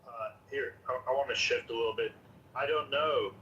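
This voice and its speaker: A man's voice: mid-range voice, moderate pace, sharp tone, muffled recording. An American man in casual discussion, restating his points and inviting the other person to share. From United States. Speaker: discussion participant. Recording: slightly distant mic, faint noise.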